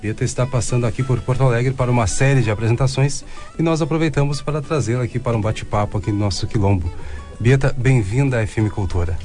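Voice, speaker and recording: A man with a southern Brazilian accent hosts a studio radio interview. He talks in a friendly, measured way and restarts his phrases now and then. His voice sits in a middle register, with soft music faintly behind. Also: medium pitch. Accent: southern Brazilian (Rio Grande do Sul). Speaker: radio host.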